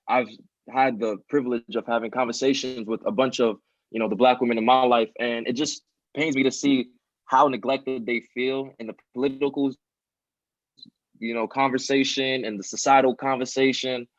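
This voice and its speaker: An earnest young man of twenty talks openly in a relaxed interview, filling his speech with "you know" and "um". His voice sits at an ordinary pitch, and the recording is dry and dull, with no room around it and the highs rolled off. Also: normal speed, no room sound. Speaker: podcast guest, student nonprofit founder. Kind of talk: casual conversational interview.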